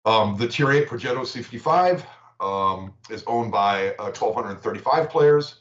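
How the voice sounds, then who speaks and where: A man chatting on a livestream, halting and repeating words as he reads out game stats. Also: mid-range voice, expressive, fairly bright tone. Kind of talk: casual livestream chat. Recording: echoey room, dull lo-fi audio.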